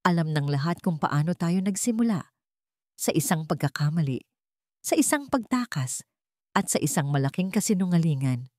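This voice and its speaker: A woman's voice: on the low side, animated, moderate pace, a little mellow, no room sound, natural voice. Devoted adult groom read by a female narrator, emotional, earnest romantic storybook drama, dry sound. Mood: earnest confession. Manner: trembling, earnest.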